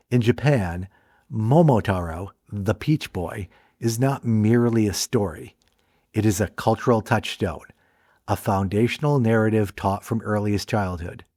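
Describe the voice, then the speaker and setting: Low-ish guy doing measured documentary narration, dry close mic, no room sound. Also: dark tone, dry recording. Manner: measured, deliberate delivery. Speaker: film essay narrator.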